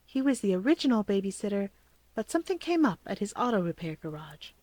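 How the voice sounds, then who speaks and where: A woman's voice: mid-range voice, sing-song, fast talker, warm tone, no echo. A woman voicing an easygoing adult uncle with a casual, friendly manner in a story reading. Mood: easy, evasive. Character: easygoing adult uncle, a man, gently humoring. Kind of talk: audiobook character voice.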